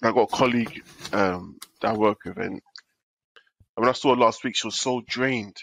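A man's voice: on the low side, moderate pace, gravelly, a bit bright, no echo, low-quality recording. Male co-host, nasal from a cold, rambling casual storyteller, dry sound. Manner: rambling storyteller, repeats himself. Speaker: podcast co-host. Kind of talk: casual chat.

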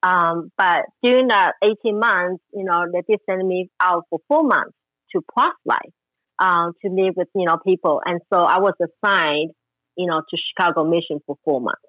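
A woman's voice: on the low side, normal speed, no echo. Woman from Taiwan, forties, interview guest, dry and slightly muffled line. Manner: warm storytelling, frequent fillers. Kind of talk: interview conversation.

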